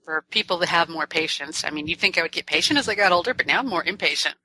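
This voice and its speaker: A woman's voice: lowish voice, fast talker, pushed and bright, a little hiss or hum, rough recording. A woman co-hosting a gaming podcast, chatting casually, teasing and laughing often. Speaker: podcast co-host.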